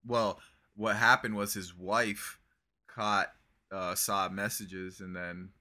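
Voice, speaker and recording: Bright-voiced young man, loose rambling chat. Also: lowish voice, takes it slowly. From Brazil. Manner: relaxed and rambling, swearing, lots of "bro" and "like". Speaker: podcast co-host. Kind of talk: casual chat.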